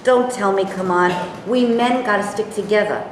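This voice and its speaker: A woman's voice: mid-range voice, moderate pace, natural voice. A woman reading the part of an abrasive, sneering male chauvinist who argues bluntly in a staged play. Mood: needling. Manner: combative, needling, blunt. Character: abrasive, sneering, argumentative chauvinist man, a Trump voter. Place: reverberant room, staged reading. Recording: very echoey room, faint noise.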